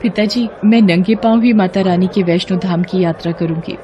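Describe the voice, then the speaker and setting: A woman voicing a meek, kind young daughter-in-law in a cartoon family drama, speaking softly and respectfully. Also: fairly low pitch, moderate pace, muffled recording, lightly acted. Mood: obedient. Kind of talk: Hindi cartoon family melodrama acting.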